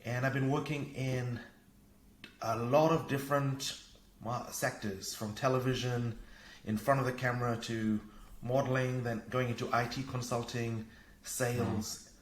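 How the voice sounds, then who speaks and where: A man from Fiji, a parenting coach and podcast guest, talking in an energetic, upbeat way. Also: mid-range voice, measured pace, a little hiss or hum. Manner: energetic, upbeat, laughs easily. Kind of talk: casual conversation. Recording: echoey room.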